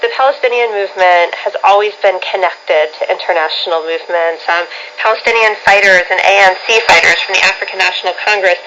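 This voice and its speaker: A woman's voice: mid-range voice, quick pace, hardly any pauses, pushed and bright. Woman interviewee on muffled, noisy shortwave. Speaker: interviewee, political commentator. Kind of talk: unscripted political interview commentary.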